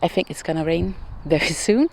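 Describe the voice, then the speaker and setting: Gravelly-voiced woman chatting about books. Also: fairly low pitch, lively intonation, moderate pace, a little mellow, slight background noise. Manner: chatty, hesitant with frequent ums and small laughs. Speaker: book vlogger. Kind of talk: casual book chat. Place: outdoors.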